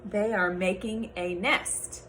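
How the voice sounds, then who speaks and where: A calm storybook animal friend, played by a woman, states things plainly and very deliberately. The delivery is slow and matter-of-fact, with faint noise in the background. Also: mid-range voice.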